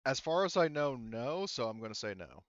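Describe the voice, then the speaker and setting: Smooth-voiced man running a game, dry sound. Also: mid-range voice, big pitch swings, moderate pace, dry recording, dull audio. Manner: rambling, thinking aloud, casually profane. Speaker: game master. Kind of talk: casual tabletop roleplaying game talk.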